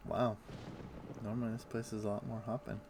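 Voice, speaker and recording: A man's voice: on the low side, little pitch movement, a little fast, gravelly. A man casually chatting and thinking aloud while he plays a game on a live stream. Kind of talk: casual chat while playing. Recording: noisy home stream audio.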